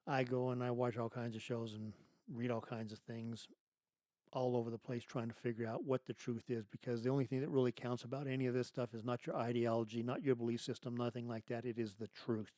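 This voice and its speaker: A man hosting his own show, delivering an emphatic political monologue full of rhetorical questions and restarts, his voice going hoarse. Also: medium pitch, level tone, a little fast, warm tone, no room sound. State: losing his voice. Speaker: podcast host.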